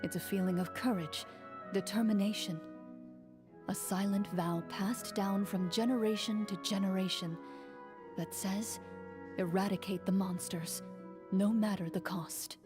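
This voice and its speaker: Woman voicing a game narrator, solemn, measured, music behind. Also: medium pitch, steady tone, lightly acted. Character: solemn female storyteller guiding the quest.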